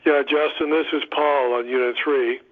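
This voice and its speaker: Even-toned man on a lo-fi phone line. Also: mid-range voice, moderate pace. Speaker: patient caller. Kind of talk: spoken remarks on a conference call. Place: conference call by telephone.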